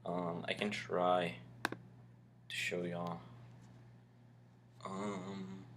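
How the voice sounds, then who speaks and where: A man's voice: deep voice, monotone, extremely slow, background noise. A young man talking casually to his camera in a vlog, rambling with lots of ums and likes. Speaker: vlogger.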